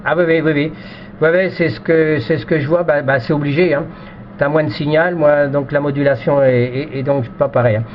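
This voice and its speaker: A man's voice: fairly high pitch, fairly even intonation, a little fast. A man chatting casually as an amateur radio operator, repeating himself and often ending phrases with "hein", with a two-way radio sound. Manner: repetitive, tags phrases with "hein". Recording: dull lo-fi radio recording with noise.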